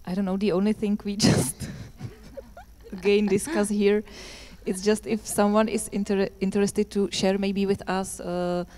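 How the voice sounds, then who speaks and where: A woman speaking to the audience about a book project, hesitant and halting with many fillers. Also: mid-range voice, little pitch movement, mellow.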